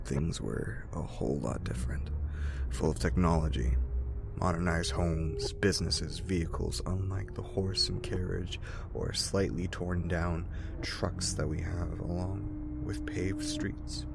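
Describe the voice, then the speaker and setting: Man voicing a very deep, stern cynic. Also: gravelly, background noise. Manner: measured, solemn, cuttingly dry. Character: stern, cynical male know-it-all recounting grim island history. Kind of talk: dramatic comic dub acting. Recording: voice over loud background music.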